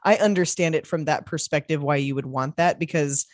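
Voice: mid-range voice, brisk, no room sound, clean studio sound. A podcast co-host in casual film chat, rambling and laughing often. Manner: rambling, laughs often, acts out movie quotes.

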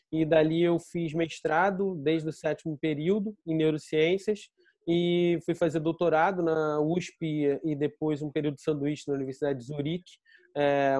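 A man's voice: on the high side, a little mellow, dry recording, studio quality. A middle-aged Brazilian man with a Carioca accent, giving a conversational self-introduction as a panel guest. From Rio de Janeiro, Brazil. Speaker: panel guest, scientist and pharmaceutical innovation director.

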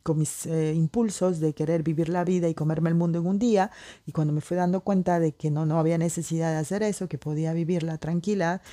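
A woman from the Amazon, her voice low and soft-edged. She muses aloud in a casual vlog, circling back with fillers and restarts as her thoughts drift. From Peruvian Amazon. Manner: meandering, many restarts and fillers. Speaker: vlogger. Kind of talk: casual reflective monologue.

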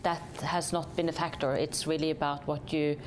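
A woman's voice: sits low, little pitch movement, normal speed, slight background noise. A woman from Norway, a company chief executive, answering interview questions thoughtfully with many hesitations and restarts.

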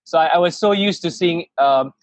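A man's voice: a little high, moderate pace, dry recording. A man in his thirties who grew up in Malaysia, a podcast guest telling his story in a rambling, enthusiastic way with many restarts. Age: 30s. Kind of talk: casual interview chat.

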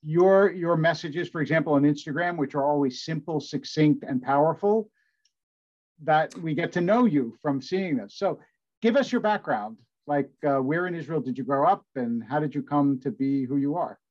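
A man's voice: fairly high pitch, normal speed, darkish tone, highs cut off. A man hosting an interview as a rabbi, speaking in a thoughtful, loosely phrased way. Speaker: rabbi and host. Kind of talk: conversational interview.

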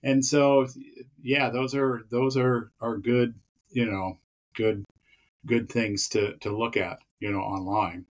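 A man's voice: mid-range voice, level tone, takes it slowly. A man chatting as a podcast guest, rambling with many fillers and restarts. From Pacific Northwest of the US. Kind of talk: casual interview chat.

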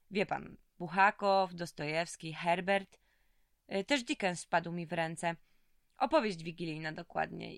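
A tough, weary female convict for a radio play. Her voice sits a little low and is recorded close and dry. She talks in blunt, streetwise slang. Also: fairly bright tone, no echo. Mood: wistful, reflective. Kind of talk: audio drama acting.

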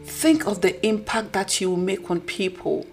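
A woman with a husky voice hosting a podcast, delivering an earnest, preachy motivational talk. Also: medium pitch, normal speed, a little mellow, faint music behind. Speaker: podcast host.

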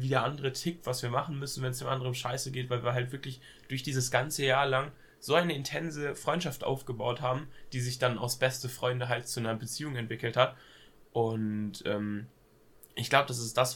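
A man's voice: mid-range voice, steady tone, moderate pace, fairly bright tone. A young man with a cold, chatting casually as podcast co-host, hesitant with lots of fillers and youthful slang. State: has a cold. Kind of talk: casual chat.

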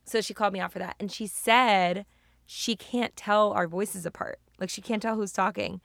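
A young woman with a raspy, gravelly voice chats playfully on a podcast. Her pitch is medium, and the close, dry recording lets the texture come through. She jokes around and tells stories easily. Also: fairly even intonation, moderate pace, a bit bright, dry recording. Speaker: podcast co-host. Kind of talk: casual chat.

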